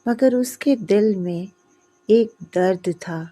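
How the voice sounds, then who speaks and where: A woman narrating a scripted moral story in short, measured phrases with pauses. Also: medium pitch, mellow.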